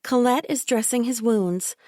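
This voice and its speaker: A sugary, smothering woman coos in a high, syrupy voice, put on by a woman reading an audiobook. Her sweetness is thick and sickly, drawn out slowly. The sound is close and dry, with no room around it. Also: warm tone, no echo, natural voice. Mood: cloying.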